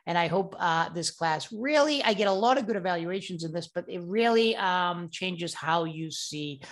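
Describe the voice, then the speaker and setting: A man's voice: high voice, animated, normal speed, a bit bright. Chatty middle-aged man with a Boston accent. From Boston, USA. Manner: enthusiastic, chatty and digressive, wandering off-topic. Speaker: university professor. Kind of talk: casual course introduction video.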